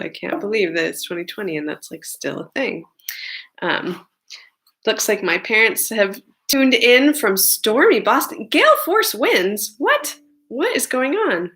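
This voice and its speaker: A woman's voice: medium pitch, a bit bright. A singer-songwriter woman chatting casually between songs at a concert streamed from her home, rambling and chatty with lots of fillers. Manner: rambling and chatty, many fillers and side remarks.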